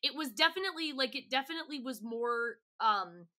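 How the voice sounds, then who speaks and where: A woman's voice: high voice, animated, brisk, sharp tone. A female podcast guest in casual chat, talking in a chatty, bubbly, rambling way.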